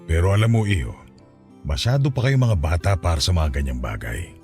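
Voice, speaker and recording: A stern Filipino soldier father in a radio drama. His voice sits very low but swings widely in pitch. He lectures with fatherly authority and a teasing edge, over faint music. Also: sing-song, a little fast, darkish tone. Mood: lecturing. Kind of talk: Tagalog radio drama acting.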